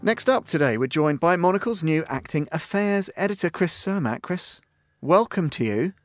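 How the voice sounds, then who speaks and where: A man's voice: a little high, expressive, normal speed, no room sound, soft background music. A man hosting a radio studio discussion, steering guests with friendly, wry banter. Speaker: radio host.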